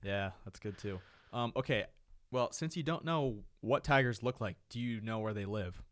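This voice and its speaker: A young man co-hosting a podcast in relaxed, teasing banter, often saying "like" and "dude". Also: fairly low pitch, normal speed. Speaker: podcast co-host.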